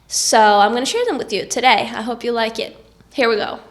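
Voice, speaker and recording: A woman's voice: fairly high pitch, brisk, a little hiss or hum. A woman with a cold, giving encouraging tips to camera in a casual vlog. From Norway. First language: Norwegian. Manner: encouraging, upbeat. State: has a cold. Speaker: vlogger.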